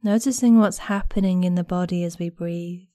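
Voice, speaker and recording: A woman guiding a meditation in a gentle, soothing, unhurried manner with long pauses between phrases. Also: on the low side, fairly even intonation, no echo, studio quality. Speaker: guided meditation instructor. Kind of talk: guided body scan meditation narration.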